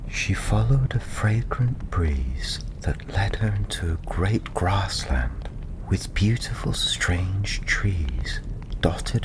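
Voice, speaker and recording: Fantasy ASMR narrator: low-pitched, slow, breathy and hushed, over clearly audible background ambience. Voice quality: soft, breathy, half-whispered. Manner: soothing, hushed, unhurried. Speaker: storyteller. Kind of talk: ASMR fantasy bedtime storytelling, scripted narration. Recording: constant ambient noise behind the voice. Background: ambience, crackling tavern fire.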